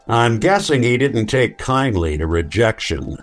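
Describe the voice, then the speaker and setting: An actor playing a world-weary, hard-boiled male detective in an old-time radio drama, speaking tersely and deliberately. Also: mid-range voice, big pitch swings, soft background music. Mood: terse, wry.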